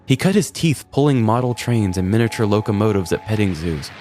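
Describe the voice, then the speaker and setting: A man's voice: lowish voice, lively intonation, a little fast, dark tone, slight background noise. A man narrating a scripted comedic story as a podcast host, in a wry, mock-serious manner. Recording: music bed behind the voice. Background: background music.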